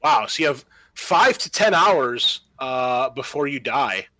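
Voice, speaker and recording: A man's voice: medium pitch, expressive, takes it slowly, pushed and bright, no room sound, low-quality recording. A man acting as game master, narrating a horror tabletop roleplaying game in an improvised way, mixing storytelling with rules talk and dry asides. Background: background music.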